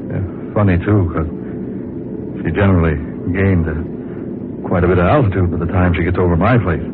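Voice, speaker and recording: An actor playing a nervous, furtive farmer on the run in a vintage radio drama. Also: male voice, sits low, close to an everyday voice. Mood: uneasy, evasive. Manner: halting, muttering, turning frantic. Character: nervous, furtive farmer, a fugitive fleeing by plane. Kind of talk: 1940s radio acting. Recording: noisy muffled vintage radio recording, engine drone.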